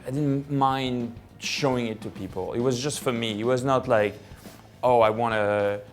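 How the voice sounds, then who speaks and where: A man's voice: medium pitch, moderate pace, faint music behind. A French-accented man in his forties, a film director telling his story at home in a casual, rambling way with many fillers and restarts. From France. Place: living room of his home.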